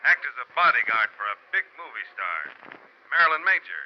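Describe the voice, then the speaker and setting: An actor playing a genial, brisk insurance company manager in 1940s radio style. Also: male voice, on the high side, lively intonation, natural voice. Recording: muffled, faintly noisy 1940s radio recording.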